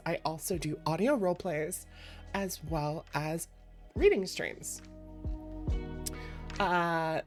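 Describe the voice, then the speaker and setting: Woman streamer with unhurried, lower-pitched chatter. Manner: chatty, playful, expressive, breaks off to react to viewers. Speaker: streamer, variety VTuber and host. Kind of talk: casual livestream chat with viewers. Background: background music.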